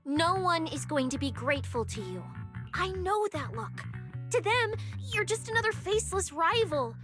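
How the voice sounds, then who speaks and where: Very high, animated anxious young specialist. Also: female voice, moderate pace. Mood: worried, scolding. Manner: expressive, emotive. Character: young female tech-savvy specialist, anxious and caring. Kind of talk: anime game voice acting. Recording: game music behind voice.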